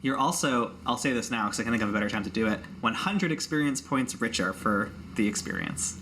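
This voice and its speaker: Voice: mid-range voice, fairly quick, a bit bright. A game master casually narrating a tabletop roleplaying game to the players. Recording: noticeable background noise.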